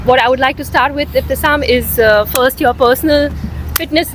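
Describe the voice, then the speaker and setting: A woman's voice: high-pitched, normal speed, background noise. Woman hosting, hesitant with fillers. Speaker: podcast host. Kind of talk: podcast introduction.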